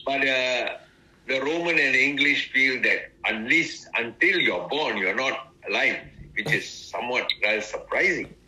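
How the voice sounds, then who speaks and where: A man's voice: mid-range voice, expressive, a little slow, sharp tone, a little hiss or hum, poor audio. A man, a retired ambassador telling stories in a leisurely, good-humoured way during an interview. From Malaysia. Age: 90s. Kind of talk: casual interview reminiscence.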